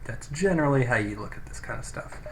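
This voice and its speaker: A man with a mid-pitched voice hosts a gaming video. He talks casually and offhand, thinking aloud as he plays. The cheap microphone gives a rough recording with faint noise behind him. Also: animated, quick pace. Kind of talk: casual let's play commentary. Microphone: cheap low-quality microphone.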